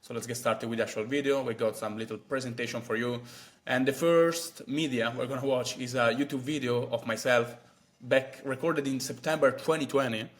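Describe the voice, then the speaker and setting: Chatty young man vlogging. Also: medium pitch. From Italy. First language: Italian. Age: 20s. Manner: chatty, many fillers and asides. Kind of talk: casual talk to camera.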